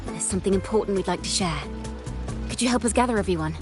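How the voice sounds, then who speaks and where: An actress playing a young female bard in a video game, speaking in an earnest, measured and eloquent storyteller's manner. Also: mid-range voice, close to an everyday voice. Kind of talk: video game voice acting, poetic scripted dialogue. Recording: loud background music.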